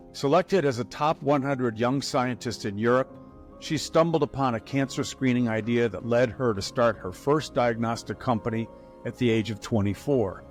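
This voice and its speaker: A man hosting an interview show, reading a smooth introduction and asking questions with some hesitation. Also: medium pitch. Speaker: podcast host. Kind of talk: interview host with read intro.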